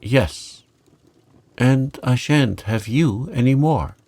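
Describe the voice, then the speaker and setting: A living marble child from a storybook, voiced by a male reader, speaks gravely and gently. The words come very slowly and solemnly, with a touch of creak. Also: mid-range voice, pitched above the performer's own voice. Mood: tender, sad. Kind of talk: storybook character reading.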